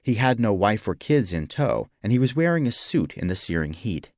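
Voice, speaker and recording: Low-pitched, very animated male storyteller. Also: moderate pace, dry recording, clean studio sound. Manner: expressive, dramatic. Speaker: audiobook narrator. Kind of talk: scripted story narration.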